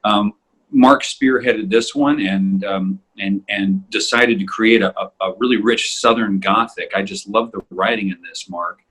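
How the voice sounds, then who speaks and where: A man hosting a podcast, giving a casual introduction in a halting way, often repeating himself as he searches for words. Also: lowish voice, a bit bright, husky, low-quality recording. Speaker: podcast host.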